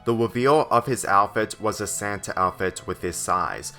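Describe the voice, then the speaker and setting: A man narrating a written story aloud in a slightly halting way. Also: on the low side, sharp tone, faint music behind. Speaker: fan fiction reader.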